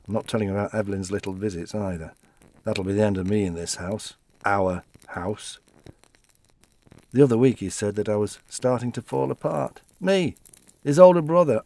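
An actor playing an elderly widower in an audio play, reminiscing wistfully about his wife in a halting, conversational, reflective way. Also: on the low side, darkish tone, lightly acted. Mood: defensive, fragile. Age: older.

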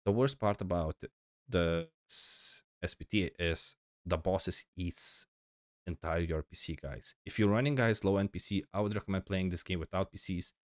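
Deep-voiced sick young man, rambling. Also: no room sound, clean studio sound. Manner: rambling, informal, frequent fillers and restarts. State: sick, slightly crackly voice. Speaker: gaming channel host. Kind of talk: casual gameplay tutorial voice-over.

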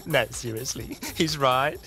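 A man playing an amused, flamboyant cartoon character, emphatic and drawn-out. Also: mid-range voice, bright, forceful tone, soft background music.

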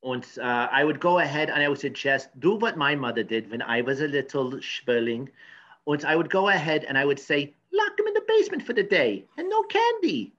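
Man acting pompous male expert, comedy sketch, higher-pitched, stammering rants, cranky, a bit lo-fi. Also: big pitch swings, fairly quick, fairly bright tone. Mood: rambling advice. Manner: rambling, stammering, blustery. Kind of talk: improvised mock news interview comedy.

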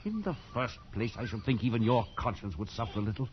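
A man's voice: mid-range voice, very animated, fast, natural voice. An actor playing a stern, worldly colonial camp man in a post-war radio drama, speaking with authority and grim caution. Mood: grave warning. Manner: authoritative, admonishing. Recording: dull, noisy vintage radio recording.

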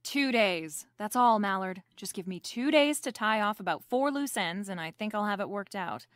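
A breezy female private detective, played by a woman in a noir audio drama. She is coolly playful and quick with a wisecrack. Her mid-range voice sounds close and dry. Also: mid-range voice, lively intonation, no room sound, close to an everyday voice. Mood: wry, evasive.

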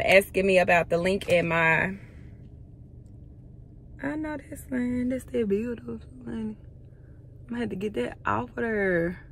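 A woman's voice: mid-range voice, gravelly, a bit bright, a little hiss or hum. A young woman vlogging casually from her parked car, chatting and rambling to her viewers. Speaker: fitness trainer and vlogger. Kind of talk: casual vlog talk to camera.

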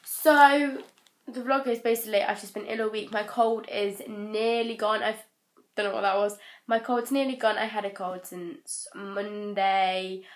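A young woman with a croaky cold voice, chatting casually to a camera held at arm's length. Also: mid-range voice, steady tone, a little slow. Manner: rambling, chatty, lots of "like" and "so". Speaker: vlogger. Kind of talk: casual vlog chat. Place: bedroom, then parked car. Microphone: handheld camera at arm's length.

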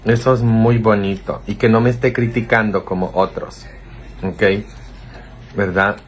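A man in his thirties talks slowly and playfully into a phone held close to his face, streaming live from a small office. His pitch sits in the middle, and a faint hiss of room noise runs underneath. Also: fairly even intonation. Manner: playful, teasing, reads viewer comments aloud. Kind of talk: casual live-stream chat.

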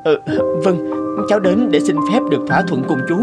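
Humble devoted young man, cartoon dub, earnest, expressive intonation, music behind. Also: high-pitched, brisk. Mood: humble, anxious. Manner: polite, earnest, pleading. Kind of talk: animated moral-tale dubbing. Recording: music under the dialogue.

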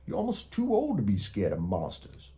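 A man's voice: lowish voice, huge pitch swings, moderate pace, dark tone. A growling male monster from under the bed, as a man reads him aloud. He is hungry and theatrical, menacing in a fond, playful storybook way. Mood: boastful, relishing.

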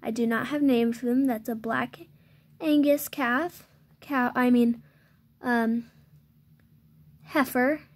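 A young female voice, fairly high and unhurried, talks through a tour of her toy farm. She pauses often, fills gaps with ums and corrects herself as she goes. It is recorded close on a handheld phone indoors. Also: fairly even intonation. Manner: hesitant, many ums and self-corrections. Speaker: channel host giving a toy barn tour. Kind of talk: unscripted show-and-tell tour. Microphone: handheld phone filming close.

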